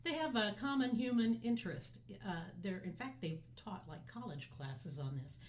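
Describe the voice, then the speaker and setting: A woman's voice: medium pitch, lively intonation, normal speed. A woman co-hosting a podcast in casual conversational chat. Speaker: podcast co-host.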